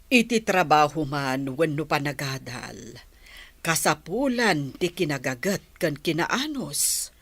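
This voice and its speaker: Slow, expressive mother. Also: low voice, husky, no room sound, full character voice. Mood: gently scolding. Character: middle-aged mother, patient and gently admonishing. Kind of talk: audio drama acting.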